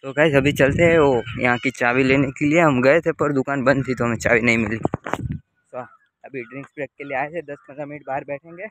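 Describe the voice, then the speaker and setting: A man's voice: medium pitch, a little fast, a bit bright. A young man, chatting casually to viewers in a vlog. He records himself outdoors at a cricket ground, holding the camera at arm's length. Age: young adult. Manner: chatty, addresses viewers directly. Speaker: vlogger. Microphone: handheld camera at arm's length.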